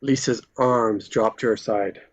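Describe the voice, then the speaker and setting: A man's voice: on the low side, steady tone, unhurried, dull audio. A man reading stage directions as scripted narration at a live table read.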